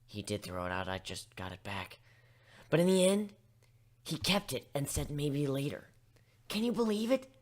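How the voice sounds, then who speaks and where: Husky female griffin acted by a woman, anxious, self-doubting, halting, very animated pitch, fan story reading. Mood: wistful excitement. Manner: agitated, halting, stammering. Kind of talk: fan fiction dramatic reading.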